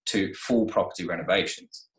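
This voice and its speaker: A man's voice: low-pitched, normal speed, echoey room, poor audio. A man in his thirties, a guest on an interview, talking casually about his renovation company with many fillers and restarts, speaking over a video call from his home. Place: home room, indoors.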